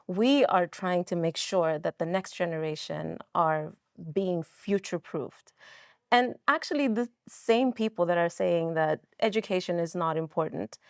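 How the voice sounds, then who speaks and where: A woman's voice: on the low side, dry recording, clean studio sound. A woman educator giving earnest, emphatic interview answers.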